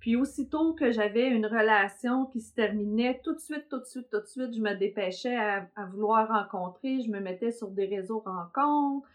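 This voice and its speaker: A woman's voice: on the high side, moderate pace, few pauses. A middle-aged woman with a Québécois French accent, telling her personal story as host in a hesitant way full of fillers. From Quebec, south shore of Montreal. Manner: hesitant, many fillers, laughs often. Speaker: podcast host, therapist.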